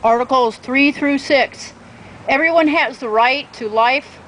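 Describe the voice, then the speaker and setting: Higher-pitched woman reading aloud outdoors. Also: unhurried, fairly bright tone, low-quality recording. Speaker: reader at a public event. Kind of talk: public reading with paraphrase. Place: outdoor town plaza. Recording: outdoor event with street traffic noise.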